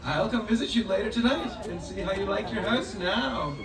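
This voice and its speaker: A performer playing a wise village rabbi and storyteller in a children's puppet show, deliberate and musing. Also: medium pitch, a crowd in the background, natural voice. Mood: measured, wise. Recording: echoey, distant stage with audience noise.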